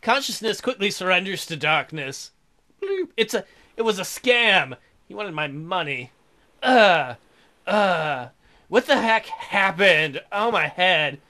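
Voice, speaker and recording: A man's voice: high-pitched, very animated, slow, sharp tone. A man hosting a let's play, reading a visual novel aloud with crude, irreverent joking asides.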